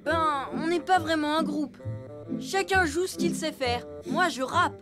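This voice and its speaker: A woman's voice: sits high, unhurried, over music. A woman voicing a cheeky, skeptical elephant boy in a children's cartoon, blunt and slangy.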